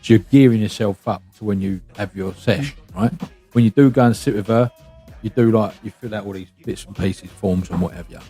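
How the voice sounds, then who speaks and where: A man in casual podcast conversation. His voice is fairly low, warm and husky. He talks in a blunt, matey way, regularly tagging his points with a checking question. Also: brisk, faint music behind. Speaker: podcast panelist. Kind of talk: casual chat.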